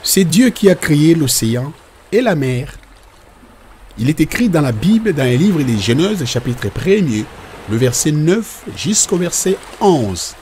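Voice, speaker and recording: Slow, expressive father played by a man. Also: fairly high pitch, a little hiss or hum. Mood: explaining. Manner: didactic, reassuring. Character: patient father teaching children about God and nature. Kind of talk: explanatory children's cartoon dialogue.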